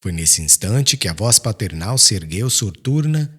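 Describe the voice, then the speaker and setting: A man's voice: on the low side, very animated, unhurried, fairly bright tone, slightly rough. A man narrating an audiobook in a solemn, emotive and formal literary style. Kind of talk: dramatic scripted literary narration.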